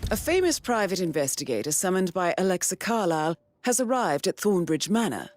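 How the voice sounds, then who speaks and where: Game handler: poised, knowing, low register, lively intonation, crisp mission briefings. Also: female voice, fairly quick. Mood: intrigued, poised. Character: poised, calculating female intelligence handler guiding an assassin.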